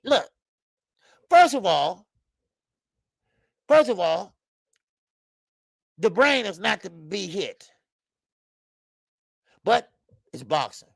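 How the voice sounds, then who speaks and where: A man in his fifties hosting a live boxing talk stream, loud and profane, rambling and greeting viewers by name. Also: very high voice, creaky, no room sound. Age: 50s. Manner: frequent laughs and stretches of filler. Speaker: boxing show host. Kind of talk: live-stream commentary chat.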